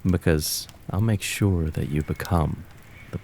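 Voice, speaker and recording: A man plays a cruel kitsune of the forest in an ASMR roleplay. The voice sits very low and moves slowly, drawing out each taunting threat. Background ambience is clearly audible behind it. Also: a little mellow, slight background noise. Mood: cruel, commanding. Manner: slow, menacing, mocking, with drawn-out words. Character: cruel, taunting kitsune fox spirit of the woods.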